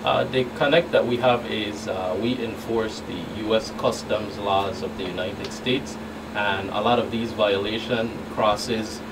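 Middle-aged male agent as radio guest, halting delivery with repeated words, distant mic, clear background hum. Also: fairly low pitch, steady tone. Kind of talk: radio interview answers. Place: small radio studio.

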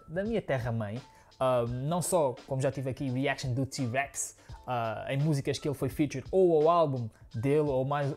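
A man's voice: mid-range voice, darkish tone. A man in his thirties from Angola, raised in Portugal, with a European Portuguese accent, chatting to viewers in a rambling way as a music reaction host. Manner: chatty, rambling, fond of "né, pessoal". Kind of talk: casual chat to viewers. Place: living room, on a sofa, indoors.

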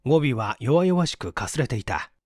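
A man's voice: medium pitch, sing-song, normal speed, darkish tone, dry recording, studio quality. A man reading a mystery story aloud as scripted, dramatic narration. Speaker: audiobook narrator.

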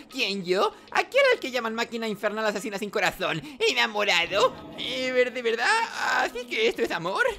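A man's voice: very high-pitched, wildly swooping pitch, normal speed, gravelly, pushed and bright, faint music behind, voice pushed up, heavily acted. A man voicing a cowardly nerdy teenage boy, whiny, stammering and overdramatic. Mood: indignant.

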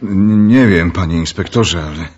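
A man's voice: low-pitched, normal speed, a little mellow, breathy edge, highs cut off. A man acting a flustered, deferential valet in a radio play, polite, hesitant and stammering. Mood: nervous, deferential.

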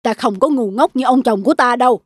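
Woman as a high-voiced, scolding old wife. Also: fairly quick, no echo, natural voice. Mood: scornful, angry. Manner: sharp-tongued, bossy. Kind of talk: children's fairy-tale storytelling. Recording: music behind the voice.

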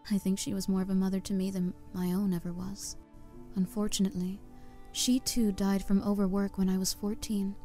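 A woman's voice: medium pitch, level tone, a little fast, warm tone, close to an everyday voice. A woman voicing an earnest young opera chorus singer who reflects on her past, in a fan audio drama with faint music behind.

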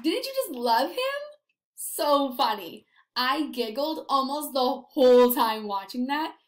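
A young woman with a high voice teaches young children. She speaks simply and encouragingly, posing questions, in a roomy-sounding webcam recording from home. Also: expressive, measured pace. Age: young adult. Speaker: elementary school teacher. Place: indoors, small apartment room.

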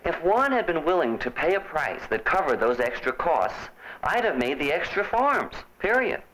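An actor playing an earnest young small-business owner, explaining things emphatically in a 1970s educational film. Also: male voice, a little high, sing-song, normal speed, fairly bright tone, rough recording. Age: young adult. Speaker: narrator and lead of an educational film. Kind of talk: scripted narration, 1970s educational film acting. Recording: music bed, aged 1970s film soundtrack.

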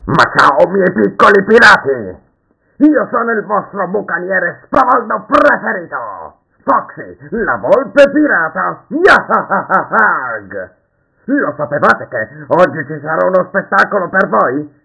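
A man voicing a swaggering animatronic pirate fox for a children's show, raspy, boisterous and theatrical. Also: high voice, very animated, takes it slowly, sharp tone, heavily acted, rough recording. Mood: swaggering, excited. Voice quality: raspy, gravelly. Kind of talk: children's show mascot performance, fan dub.

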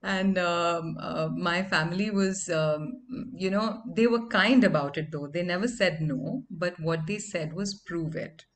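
A woman in her thirties with a deeper voice, telling stories about her music in a lively, conversational way, from a small room at home. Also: a little slow, hardly any pauses, slight background noise. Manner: animated, conversational storytelling. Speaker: singer and interview guest. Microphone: microphone on stand in home studio.

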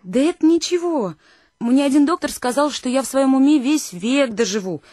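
An actress playing a shy, simple young village woman in a radio play, meek and halting in her speech. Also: high voice, expressive, dark tone, dry recording, clean studio sound. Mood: meek, confessing.

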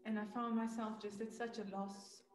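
A South African woman in her thirties, sharing reflectively from her home over a webcam, hesitant with many pauses and fillers. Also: medium pitch, level tone, darkish tone. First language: not Afrikaans. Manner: hesitant, many ums, thoughtful. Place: home room with a piano. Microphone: webcam on a video call. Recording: echoey room with piano playing.

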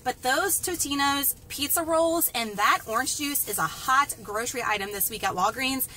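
A woman's voice: high voice, normal speed, bright, forceful tone. A woman, chatting upbeat to viewers as she walks through store deals, then films from a parked car. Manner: upbeat, talks straight to viewers with "y'all" and "guys". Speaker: couponing vlogger. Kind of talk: casual chatty deal walkthrough. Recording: noisy store and car background.

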